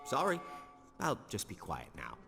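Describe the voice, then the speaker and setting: An actor playing a young, joking, complaining superhero in a game. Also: male voice, sits low, wildly swooping pitch, unhurried, gravelly, full character voice. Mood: whiny. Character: whiny, wisecracking young male superhero. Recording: long reverb with music.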